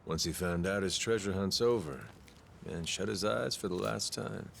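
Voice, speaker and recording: An actor playing a spirited aging gangster turned pirate captain in a video game, with slangy, folksy, drawling talk. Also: male voice, sits low, moderate pace, creaky, fairly bright tone, a little hiss or hum. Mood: reflective. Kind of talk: video game cutscene acting.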